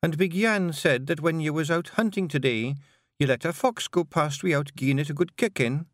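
A man reading aloud and voicing a small, fierce female fairy clan leader in a Scottish accent, coaxing and brisk. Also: a little high, no room sound, clean studio sound, pitched above the performer's own voice, close to an everyday voice. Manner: brisk, coaxing, then shouting.

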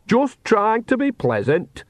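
Old country witch woman, acted by a man, earthy, folksy, unhurried, lifted pitch, dry close audiobook sound. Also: huge pitch swings, no room sound, full character voice. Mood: patient, coaxing. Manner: folksy, conversational, rustic grammar.

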